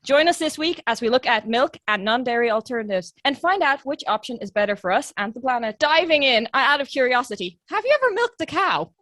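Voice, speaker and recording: A woman's voice: high-pitched, sing-song, fairly quick, few pauses, fairly bright tone, no echo, studio quality. A woman co-hosting a podcast, chatty and self-deprecating, moving between casual banter and a read intro. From German and Hungarian family. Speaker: podcast co-host. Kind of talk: casual chat and scripted intro.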